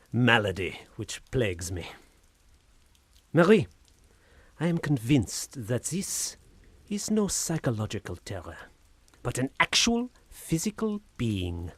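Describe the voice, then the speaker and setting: An actor playing a tormented, obsessive man in an audio horror drama, intense and theatrical, halting and then feverish. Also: medium pitch, no room sound. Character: tormented, obsessive Frenchman haunted by an invisible being.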